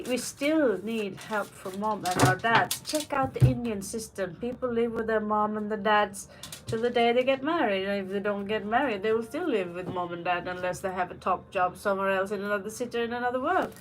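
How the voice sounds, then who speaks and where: A woman's voice: mid-range voice, moderate pace, a little hiss or hum, poor audio. A woman doing a live tarot reading, chatting in a rambling way with personal asides between the cards. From Norway. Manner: rambling, personal asides, reads out viewer questions. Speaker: tarot reader. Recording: faint music behind the voice.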